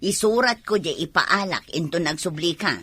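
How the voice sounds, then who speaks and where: An actress with an Ilocano accent playing a pushy older acquaintance asking favours in a comedy drama. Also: female voice, fairly low pitch, moderate pace, bright, forceful tone, husky, no echo. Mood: casual.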